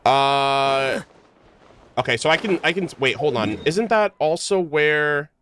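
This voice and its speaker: A man's voice: fairly high pitch, animated, takes it slowly, a bit bright, a little hiss or hum. A man live streaming a game, chatting informally and jokingly with his viewers in slangy language. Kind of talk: casual game streaming chat.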